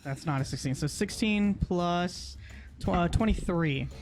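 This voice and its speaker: Man, high-pitched voice, tabletop game player, casual conversational delivery. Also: unhurried, over music.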